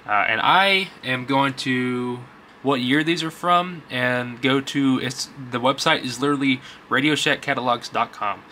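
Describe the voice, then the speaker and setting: Bright-voiced man rambling casually. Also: mid-range voice, measured pace, slight background noise. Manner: informal, folksy grammar. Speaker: YouTube vlogger, vintage electronics collector. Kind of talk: casual rambling vlog chat.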